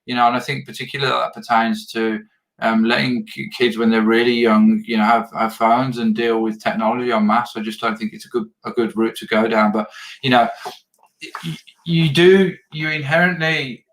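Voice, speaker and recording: Male podcast host on a video call, mid-pitched, earnest, stumbles and repeats as he thinks. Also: steady tone, moderate pace, fairly bright tone, rough recording. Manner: earnest, repeats words when searching for phrasing. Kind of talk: casual video interview.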